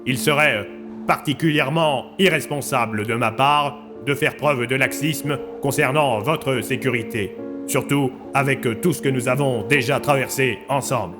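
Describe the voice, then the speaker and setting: A man's voice: mid-range voice, moderate pace, over music, natural voice. A man playing an earnest village mayor in an audio drama, giving a formal, slightly hesitant public address. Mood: solemn.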